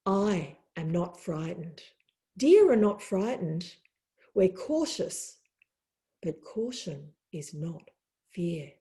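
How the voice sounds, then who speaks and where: Woman voicing a calm wise deer. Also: high voice, unhurried.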